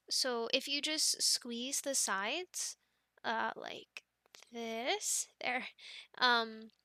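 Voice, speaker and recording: Young woman, soft rambly girlfriend roleplay, bright slightly high voice, dry close mic. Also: creaky, dry recording. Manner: soft, chatty and rambling, with many ums and light laughs. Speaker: ASMR roleplay creator.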